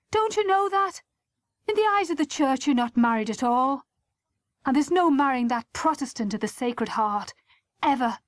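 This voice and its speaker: A woman's voice: on the high side, dry recording, clean studio sound. A woman voicing a scandalised middle-aged mother in an audiobook, reproachful and full of indignant questions.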